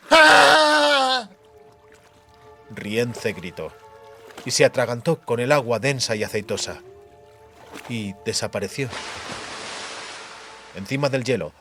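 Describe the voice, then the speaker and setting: A man's voice: mid-range voice, slight background noise. A man narrating fantasy fiction as a dramatic, scripted audiobook.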